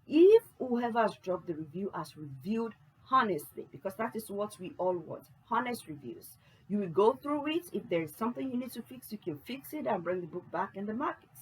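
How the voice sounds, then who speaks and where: A woman who writes books, talking casually to camera in an unhurried way and reading reviews aloud. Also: mid-range voice, sing-song, a little hiss or hum, low-quality recording. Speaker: author and book channel host.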